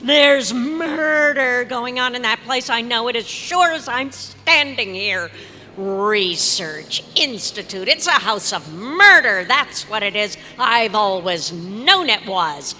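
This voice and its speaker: In a reverberant hall, a bright female voice speaks in slow, emphatic phrases. A woman plays an indignant, suspicious accuser from a vintage radio melodrama. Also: a little high, expressive, exaggerated voice. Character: indignant, suspicious woman denouncing a house of murder. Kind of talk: old-time radio drama acting. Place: hotel convention meeting room, live performance. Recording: reverberant live hall, faint noise.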